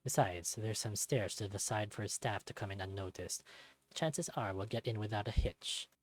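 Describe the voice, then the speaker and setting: A man voicing a dry, laconic, gruff male raccoon thief in a visual novel. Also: lowish voice, moderate pace, no room sound, close to an everyday voice. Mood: matter-of-fact.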